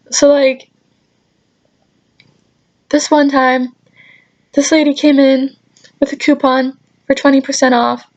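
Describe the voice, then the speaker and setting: A woman's voice: high voice, dull audio. Young woman griping through casual stories. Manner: animated exasperated griping with sarcastic asides and mild swearing. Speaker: storytelling vlogger. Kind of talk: casual anecdote vlog, chatty.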